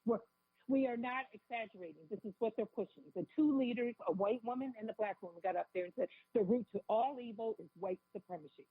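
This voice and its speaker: A woman with a cold and a raspy voice, calling in as a radio guest and speaking fervently and quickly. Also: a little high. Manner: fervent, rapid, repeats herself. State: has a cold. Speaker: caller guest. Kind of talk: phone-in talk radio interview. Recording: tinny phone line.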